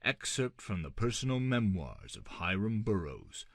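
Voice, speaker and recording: Deep-voiced man narrating an audiobook. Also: very animated, unhurried, no echo, clean studio sound. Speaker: audiobook reader. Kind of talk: dramatic scripted narration.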